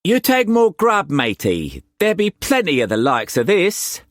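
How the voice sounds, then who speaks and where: A man voicing a boisterous pirate chief who speaks rough Cockney slang, jovial but menacing. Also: sits high, huge pitch swings, a little slow, no room sound, clean studio sound, voice pushed up, heavily acted.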